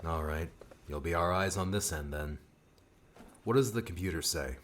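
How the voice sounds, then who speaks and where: A man voicing a stern, stubborn guardian adventurer in an audiobook, speaking in a low, serious, commanding way. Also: moderate pace, fairly bright tone, a little hiss or hum. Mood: serious, worried. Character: stern, stubborn male guardian adventurer hiding his fear. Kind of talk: audiobook character dialogue.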